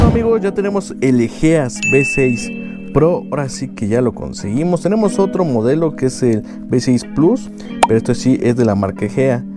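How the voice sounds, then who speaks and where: A man with a Mexican Spanish accent casually unboxing and explaining motorcycle gear, chatty and full of slang and filler phrases. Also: high-pitched. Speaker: moto vlogger.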